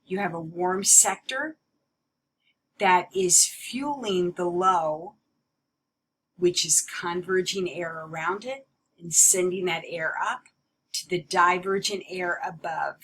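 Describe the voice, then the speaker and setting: A woman giving an explanatory lecture as a teacher, in a deliberate, emphatic way that repeats key points. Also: on the low side, a bit bright, slight background noise, poor audio. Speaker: meteorology teacher.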